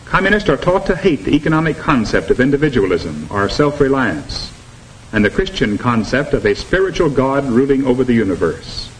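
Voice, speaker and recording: An actor with an American accent playing an earnest, didactic instructor in a 1950s educational propaganda film. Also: male voice, medium pitch, very animated, lightly acted. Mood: stern, didactic. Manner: didactic, measured. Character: earnest male anti-communist instructor lecturing students. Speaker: instructor presenting a lesson. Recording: noisy old 1950s film soundtrack.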